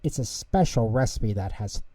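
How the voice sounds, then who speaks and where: Man voicing an anxious little storybook mouse, mellow. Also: mid-range voice, expressive, moderate pace, lightly acted. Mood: fretful. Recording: echoey room, distant mic.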